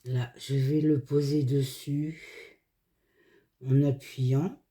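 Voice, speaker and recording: Dark-voiced, unhurried woman with a cold. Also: very deep voice, flat delivery. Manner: unhurried, talking through actions in short phrases. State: hoarse from a cold. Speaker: craft video creator. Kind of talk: casual hands-on craft tutorial, chatting to camera.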